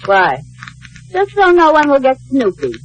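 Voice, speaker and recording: An American actress playing a playful, scheming middle-aged banker's wife in 1950s radio comedy, theatrical and wisecracking. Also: female voice, sits high, wildly swooping pitch, a little slow, exaggerated voice, poor audio. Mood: sly, confiding. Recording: vintage radio broadcast, studio audience behind.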